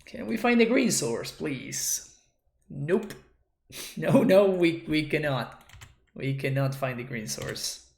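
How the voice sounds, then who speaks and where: A man's voice: a little high, animated, slow pace, gravelly, roomy sound. Man with a Spanish accent, thinking aloud. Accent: Spanish-accented English. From Argentina. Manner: thinks aloud, wry and self-deprecating, frequent restarts. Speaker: streamer. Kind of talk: casual gameplay commentary.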